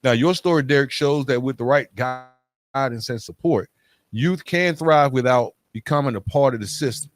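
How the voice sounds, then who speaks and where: A man hosting an interview podcast, speaking in a halting way as he searches for words. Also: medium pitch. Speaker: podcast host. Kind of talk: hosting an interview podcast, wrapping up with calls to action.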